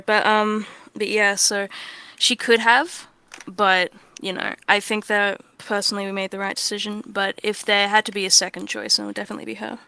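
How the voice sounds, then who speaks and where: A woman's voice: mid-range voice, sharp tone, smooth, no echo. A woman hosting a casual livestream chat, talking informally with easy swearing. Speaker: audio roleplay creator and livestream host.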